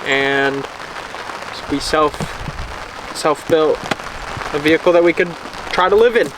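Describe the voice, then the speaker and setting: An easygoing young man rambles through his answers, his voice sitting slightly high. He is heard from a distance outdoors, with steady rain and a busy background behind him. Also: slow pace, crowd noise. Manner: easygoing, rambling with many fillers. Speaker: vlogger. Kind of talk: casual chat. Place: outdoors beside a car in the rain. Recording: distant outdoor camera audio with rain noise. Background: rain and outdoor ambience.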